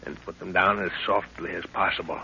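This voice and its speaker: An actor playing a middle-aged, seasoned jungle adventurer, fatherly and commanding, with measured instructions in a 1930s radio drama. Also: low-pitched, fairly even intonation, sharp tone, breathy edge, full character voice. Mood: instructing. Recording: noisy dull 1930s radio recording.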